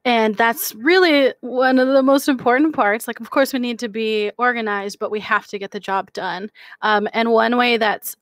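A woman's voice: fairly high pitch, fairly even intonation, moderate pace, dry recording, clean studio sound. A woman in her twenties, a guest on a video call chat, explaining things earnestly. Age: 20s. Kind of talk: casual chat.

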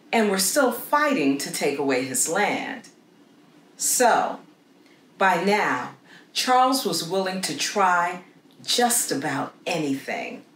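A middle-aged woman reading a story aloud for children in an expressive, deliberate way with dramatic pauses. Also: on the low side. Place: small indoor room against a plain wall. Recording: roomy echo with faint background noise.

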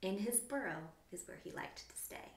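A woman's voice: medium pitch, expressive, normal speed. Woman reading a storybook, roomy echo. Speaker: children's author reading her book. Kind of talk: expressive children's storybook read-aloud. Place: small plain room indoors. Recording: roomy, echoing indoor room.

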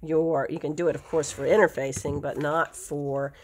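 A woman's voice: low-pitched, flat delivery, normal speed, slight background noise. A woman with a nasal voice, chatty and rambling, teaching a quilting craft at her sewing table. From United States. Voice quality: nasal from allergies. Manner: chatty, rambling asides, self-correcting. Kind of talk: casual craft tutorial. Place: home sewing table, indoors.

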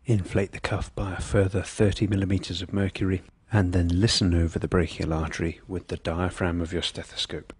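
A man reading scripted medical instruction in a measured, precise, impersonal manner. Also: sits low, flat delivery, a little mellow, slightly rough, no echo. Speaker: instructional narrator.

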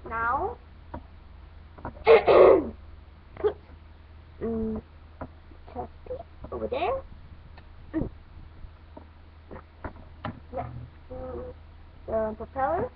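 A woman giving halting, step-by-step instructions in a hands-on toy building tutorial. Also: sits high, big pitch swings. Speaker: toy review host. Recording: muffled phone-like audio, clear background noise.

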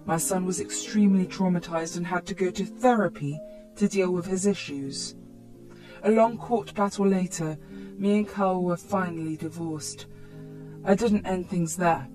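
A woman's voice: mid-range voice, normal speed, darkish tone, faint music behind, poor audio. A woman reading a scripted first-person story as narrator.

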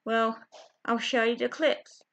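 A young woman chatting to her webcam from a small bedroom, halting, with many fillers and restarts. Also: a little high, fairly even intonation, a bit bright. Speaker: vlogger. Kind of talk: casual chat to camera. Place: small bedroom with window blinds. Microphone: webcam at close range. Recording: dull lo-fi webcam audio.

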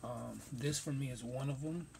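A man's voice: mid-range voice, steady tone, normal speed, creaky, darkish tone, slight background noise. A man hosting a casual sneaker unboxing vlog, talking in a loose, rambling way with plenty of slang, recorded on a phone camera in a room stacked with shoe boxes. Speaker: sneaker reviewer.

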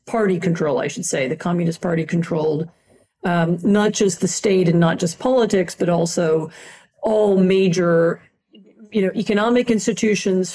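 A middle-aged woman with a low voice answers at length as a podcast guest. She explains in a measured, thoughtful way. Her sentences are threaded with "um" and "you know". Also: dark tone. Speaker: guest historian.

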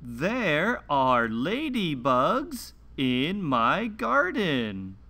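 A man presents a read-along lesson for young children. His delivery is very slow and deliberate, with sing-song emphasis and lively swings in pitch. The tone is bright and forward, and each word is carefully enunciated. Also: gravelly. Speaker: teacher hosting a children's read-along show.

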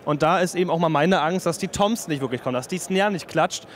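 A man's voice: on the high side, quick pace. A young man working as an interviewer, chatting casually and informally about technical gear with his own opinions. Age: young adult. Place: busy trade fair booth in a large hall. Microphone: handheld interview microphone. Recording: clear trade fair hall noise.

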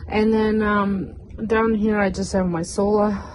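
A woman's voice: mid-range voice, fairly even intonation, unhurried, a little mellow, slight background noise, poor audio. A middle-aged woman giving a casual, rambling walkthrough of her basement craft room, full of fillers, recorded on a phone camera. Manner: chatty, rambling, with frequent fillers and restarts. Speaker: home organizing vlogger. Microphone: phone camera, handheld and on a stand.

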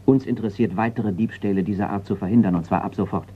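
A man acting a formal insurance executive in a radio crime drama, with a low voice. He is earnest, measured and insistent. The sound is muffled, with faint noise behind it. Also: lightly acted. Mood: pessimistic, concerned. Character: sober, insistent male insurance company executive. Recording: dull, noisy radio play recording.

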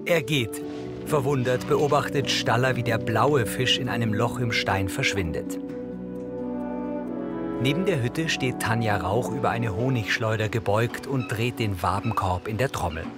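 Neutral male narrator, mid-pitched. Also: loud music behind the voice. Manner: neutral, measured. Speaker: audio description narrator. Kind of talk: scripted scene narration.

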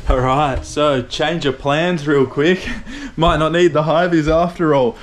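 Flat-voiced man chatting casually to camera. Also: fairly high pitch, unhurried, slight background noise. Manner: relaxed, chatty, talks viewers through his chores. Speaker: vlogger. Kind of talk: casual first-person vlog narration. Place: remote island lighthouse station.

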